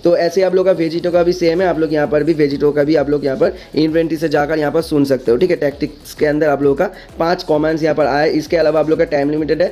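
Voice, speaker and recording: The rapid, soft-toned Indian guy you would hear on a gaming channel, walking viewers through new events with the same little tags and bits of English. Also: fairly high pitch, fairly even intonation. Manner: repetitive verbal tags, mixes in English words. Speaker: gaming video creator. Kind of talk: casual explainer commentary on game events.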